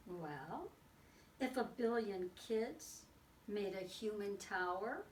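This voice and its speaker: A woman reading a picture book aloud to children like a teacher, expressive and exclaiming, with dramatic pauses and little asides. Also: medium pitch. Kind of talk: read-aloud storytelling for children. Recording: roomy, distant mic with faint noise.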